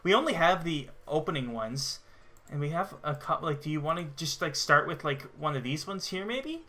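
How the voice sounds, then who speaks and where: A man's voice: a little high, lively intonation, brisk, pushed and bright. A man in his early twenties co-hosting a gaming show, chatting informally and enthusiastically as he plays. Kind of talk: casual gameplay chat.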